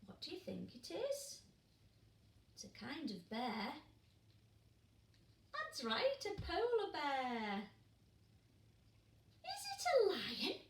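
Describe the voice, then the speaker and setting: A teacher reading a picture book to young children, gentle and slow, pausing to ask them questions. Her voice is higher than most and swings up and down, in a roomy sound caught a little away from the mic. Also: huge pitch swings, distant mic, a little hiss or hum. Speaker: primary school teacher.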